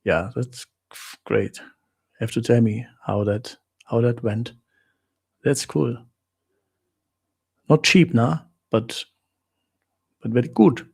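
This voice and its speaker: A German-accented man hosts a relaxed live Q&A for his viewers. His low voice is gravelly with a husky edge, and his tone is dark and mellow. He stops often to hunt for English words, then sums things up in short, blunt exclamations. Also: slow, long pauses. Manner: hesitant, searches for words with many fillers, blunt exclamations. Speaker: livestream host answering viewer questions. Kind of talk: casual live Q&A chat.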